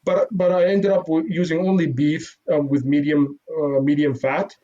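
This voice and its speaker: A man's voice: on the high side, fairly even intonation, moderate pace, mellow. Hesitant man, lo-fi sound. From Iraq. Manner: hesitant, many fillers and restarts, modest. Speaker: guest. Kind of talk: casual interview. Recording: dull lo-fi sound.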